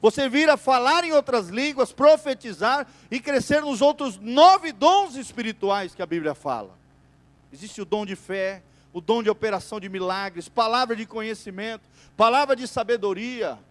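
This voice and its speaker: High-voiced Brazilian pastor preaching. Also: male voice. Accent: Brazilian Portuguese. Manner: preaching with call-and-response "amém?" to the congregation; swings between loud emphatic bursts and softer quiet stretches. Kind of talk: sermon. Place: church.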